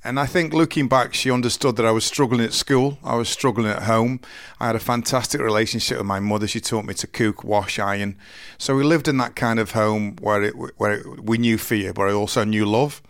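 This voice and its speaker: A man in his forties with a deep voice, telling his life story slowly and earnestly in an interview, often restarting his sentences. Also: steady tone, no echo, clean studio sound. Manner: slow, halting, earnest storytelling with many restarts. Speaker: interview guest. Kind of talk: candid personal interview.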